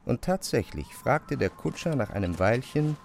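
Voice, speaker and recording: A man narrating a literary audio drama with measured, precise phrasing. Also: medium pitch, big pitch swings, vocal fry, a little hiss or hum.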